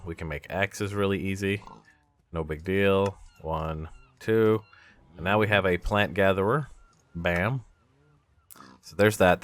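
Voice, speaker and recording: A man with a low voice hosts a gaming video in a casual, unscripted way. He thinks out loud as he plays, talking himself through each step like someone working beside the viewer. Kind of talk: casual let's-play commentary.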